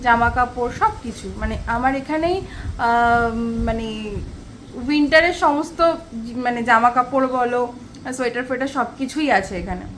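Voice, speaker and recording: A woman with a high-pitched voice and a West Bengali accent chats to her viewers as a home vlogger. Her delivery is casual and conversational, like someone recounting small everyday happenings. She is recorded on a handheld camera in a small room, with faint noise behind her. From West Bengal, India. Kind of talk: casual chatty home vlog, storytelling to viewers. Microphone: handheld camera at arm's length.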